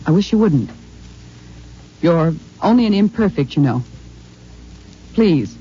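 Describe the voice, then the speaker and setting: Mellow-voiced, composed lady scientist. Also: on the low side, animated, unhurried, slightly rough. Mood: troubled, tender. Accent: American. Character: composed female scientist, clinical but secretly tender. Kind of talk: 1950s American radio drama acting. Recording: muffled old radio recording with hum.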